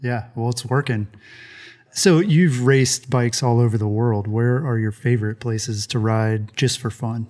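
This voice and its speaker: A man's voice: medium pitch, measured pace, darkish tone. A man hosting a podcast, asking short questions and giving brief agreement. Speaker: podcast host, interviewer. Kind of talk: podcast interview.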